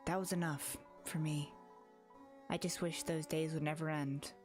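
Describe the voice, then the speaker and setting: A woman's voice: sits low, little pitch movement, measured pace, darkish tone. A woman voicing an anxious, lonely young girl in a visual novel, in an earnest, wistful inner monologue. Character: anxious, lonely young girl narrating her haunted thoughts. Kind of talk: visual novel read-aloud. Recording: music behind the voice.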